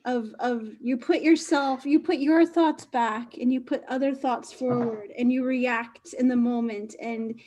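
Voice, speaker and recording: A woman with a high voice hosts a relaxed interview. She speaks with eager, expressive energy as she shares her own experience. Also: a little slow, hardly any pauses. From English-speaking. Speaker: host and interviewer. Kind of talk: casual interview chat.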